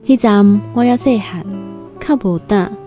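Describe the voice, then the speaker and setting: A woman's voice: mid-range voice, measured pace, music in the background, close to an everyday voice. An actress with a Taiwanese accent playing a timid, earnest young country girl new to the city in a radio drama. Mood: earnest, humble. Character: timid nineteen-year-old country girl new in Taipei. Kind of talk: Taiwanese radio drama, first-person storytelling and dialogue.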